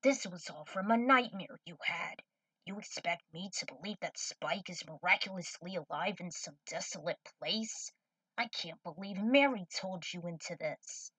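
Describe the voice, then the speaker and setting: A woman's voice: fairly low pitch, expressive, measured pace, sharp tone, husky, no room sound, far from the mic, heavily acted, low-quality recording. A woman voicing a skeptical, stern fatherly man in a fan fiction story reading. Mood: dismissive.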